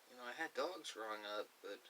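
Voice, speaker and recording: A middle-aged man telling a personal story in a reflective way, halting often between short phrases. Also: mid-range voice, sharp tone, poor audio. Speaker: ASMR video creator. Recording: clear background hiss.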